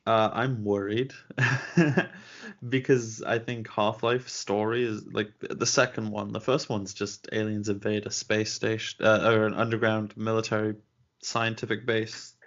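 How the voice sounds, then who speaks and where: A man's voice: on the low side, flat delivery, measured pace, a bit bright. A man co-hosting a casual talk show, explaining his views earnestly and laughing often. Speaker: podcast co-host. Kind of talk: casual chat. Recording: dull lo-fi recording.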